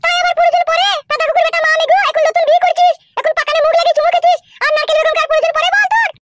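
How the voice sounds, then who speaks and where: A voice actor playing a scorned, sharp-tongued village mistress who scolds and threatens in a comedy cartoon, through a pitched-up effect. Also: steady tone, very fast, heavy background noise. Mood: furious threatening. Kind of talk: amateur Bengali comedy cartoon dialogue.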